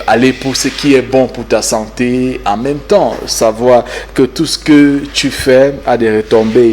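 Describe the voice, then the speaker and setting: A man's voice: mid-range voice, darkish tone, a little hiss or hum. A man with a Cameroonian French accent hosting a casual live talk show, hesitant and teasing, with many fillers. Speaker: host.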